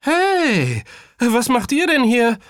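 Adult reader playing a young schoolboy, raised pitch, lively, friendly, eager, children's story character. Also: moderate pace, no room sound, clean studio sound, lightly acted. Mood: excited, friendly. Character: Kaya, friendly eager schoolboy with bright excited voice.

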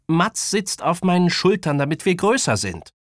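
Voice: mid-range voice, very animated, normal speed, no room sound, clean studio sound, pitched above the performer's own voice, lightly acted. A storyteller voicing an earnest, plucky small schoolboy in a children's audiobook.

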